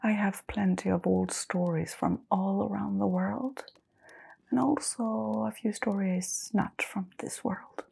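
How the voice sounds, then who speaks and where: A woman playing a welcoming, gentle old-bookshop keeper in an ASMR roleplay, speaking in a soft, unhurried whisper with little hums and pauses. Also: mid-range voice, fairly even intonation, heavily acted. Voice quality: soft breathy whisper with creak.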